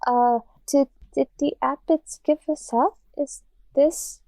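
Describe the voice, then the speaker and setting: A woman's voice: high voice, steady tone, takes it slowly, vocal fry, mellow, no echo, studio quality, heavily acted. A woman playing a blunt, questioning lost child in a tabletop game. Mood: tentative.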